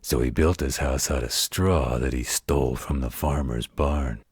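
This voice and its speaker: Gruff male werewolf guardian acted by a man, extremely low and raspy, tender folksy bedtime storyteller for an intimate audio roleplay. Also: fairly even intonation, no room sound, studio quality, heavily acted. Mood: folksy storytelling. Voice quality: very deep, gravelly, husky. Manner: folksy, unhurried, gently wry.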